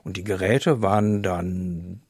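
A man's voice: deep voice, fairly even intonation, slow, a little mellow, slightly rough, dry recording, studio quality. A man giving spoken explanations as an interviewed expert.

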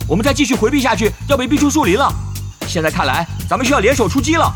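A man's voice: very high voice, lively intonation, racing, over music. An actor playing a cocky, hyper-talkative young swordsman gamer in an audio drama, chattering with repeats and exclamations. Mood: tactical.

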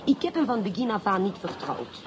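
Actress as self-assured, animated amateur sleuth wife. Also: female voice, medium pitch, fairly quick, noisy background, natural voice. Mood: insistent. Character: intuitive, self-assured inspector's wife, playfully peppering French. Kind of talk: radio play acting.

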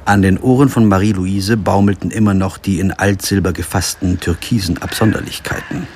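A man's voice: low-pitched, fairly even intonation, brisk, darkish tone, a little hiss or hum. A man playing a sober, understated lawyer who narrates a radio crime drama in standard German. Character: male lawyer narrating his own crime investigation. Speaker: actor playing narrator and lead. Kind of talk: radio play narration and dialogue. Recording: music behind the voice.

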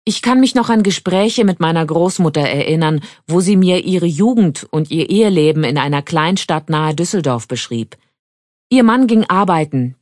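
An actress in her thirties playing a pharmacist guest on a radio show, speaking clearly and matter-of-factly. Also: on the low side, no room sound, clean studio sound, close to an everyday voice. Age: 30s. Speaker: studio guest. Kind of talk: scripted exam dialogue.

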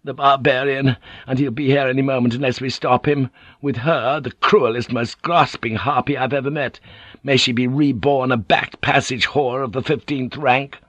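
A man's voice: mid-range voice, steady tone, moderate pace, a bit bright, no room sound, lo-fi sound, pitched above the performer's own voice, lightly acted. A man voicing a scheming older woman who runs a teahouse, wailing and overly theatrical. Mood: aghast.

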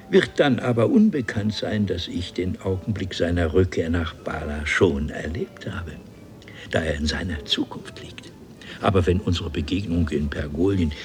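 An actor playing a haughty, eloquent nobleman from an ancient civilization, telling his story theatrically and deliberately in a 1970s German radio play. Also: deep voice, slight background noise, heavily acted. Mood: lofty, explaining.

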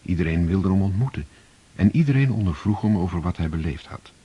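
A man narrating a radio drama in a slow, grave, measured way. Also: deep voice, animated, warm tone, slightly rough, muffled recording.

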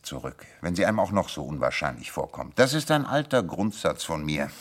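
A deep-voiced, animated master detective. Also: male voice, brisk, exaggerated voice. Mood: self-assured, explanatory. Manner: theatrical, self-assured, amused chuckles between explanations. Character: confident, sharp-witted male detective explaining his deductions. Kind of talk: radio play acting.

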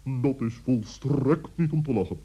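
A man's voice: mid-range voice, a little slow, warm tone, breathy edge. A man playing a self-important, earnest talking bird in a children's radio play, solemn and fussy. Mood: pompous, indignant. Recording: muffled old radio play recording.

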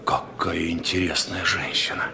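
A very deep-voiced curious man. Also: level tone, unhurried, gravelly, crowd noise, full character voice. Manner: wondering, murmuring asides. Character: curious man, slow and wondering. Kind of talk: 1970s radio play acting.

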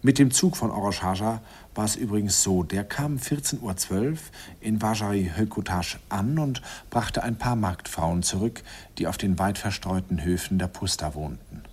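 A man's voice: on the low side, normal speed, darkish tone, slightly rough. A man playing a nostalgic narrator recalling a youthful romance in a radio play, wistful, intimate and amused. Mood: wry.

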